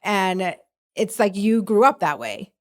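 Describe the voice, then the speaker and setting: A woman's voice: medium pitch, big pitch swings, unhurried. A woman hosting a podcast, chatty and enthusiastic, talking casually with a guest. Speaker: podcast host. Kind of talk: casual conversation.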